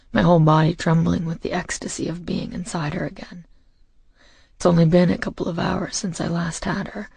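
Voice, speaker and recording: A man narrating a romance audiobook in the first person, intimate, hushed and intense, with deliberate phrasing. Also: sits high, no echo. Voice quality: husky, breathy, gravelly.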